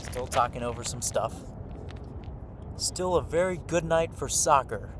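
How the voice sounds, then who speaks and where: A man calls a soccer match live for radio at a mid-range pitch. He speaks in short running fragments, adding asides as he follows play. Faint outdoor and vehicle noise sits behind him at the field. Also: a little slow. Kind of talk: live radio sports commentary.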